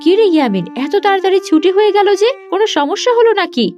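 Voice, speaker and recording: A stern, caring cartoon mother with a high-pitched voice, acted by a woman. She speaks like someone used to scolding children. Music runs clearly behind her. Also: very animated, fast talker, highs cut off. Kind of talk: cartoon dialogue.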